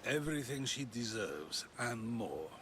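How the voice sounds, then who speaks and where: A solemn, dignified ancient Athenian. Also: male voice, medium pitch, sing-song, a little slow, a bit bright, slight background noise. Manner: solemn, declarative. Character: resolute, dignified Athenian man, devoted to his city. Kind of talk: video game cutscene acting.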